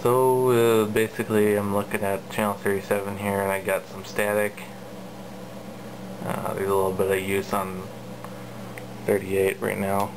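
Flat-toned man over clear background noise. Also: lowish voice, moderate pace, pauses often. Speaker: radio hobbyist presenting a demo. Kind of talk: casual hands-on demo talk. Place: indoors at a home radio setup. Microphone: handheld camera filming the equipment.

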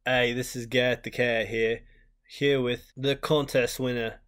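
Cartoon carrot celebrity a man acting, upbeat, showy, salesmanlike, mid-pitched male voice. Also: level tone, a little slow, fairly bright tone, lightly acted.

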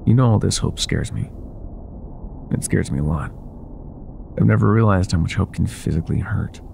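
A man playing an older ex-mafia boyfriend in an intimate ASMR roleplay, speaking softly and affectionately with some hesitation. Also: deep voice, normal speed, vocal fry, a little hiss or hum. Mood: vulnerable, wistful. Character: older ex-mafia boyfriend, tender, teasing, vulnerable bar owner.

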